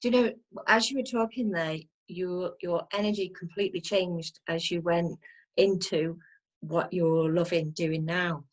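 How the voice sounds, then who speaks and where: A woman's voice: fairly low pitch, steady tone, unhurried, rough recording. Effusive, encouraging woman host. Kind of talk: casual interview chat.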